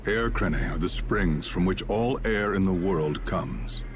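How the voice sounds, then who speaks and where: A male actor voicing an aloof, mysterious swordsman in a video game, stern, measured and detached. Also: deep voice, a little hiss or hum. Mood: cold, solemn. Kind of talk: English video game voice acting.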